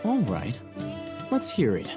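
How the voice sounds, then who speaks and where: A man voicing a calm, calculating young scholar in a video game, measured, dry and coolly self-assured. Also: mid-range voice, loud music behind the voice. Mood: cool, impressed. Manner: measured, matter-of-fact, dry. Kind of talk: video game character acting.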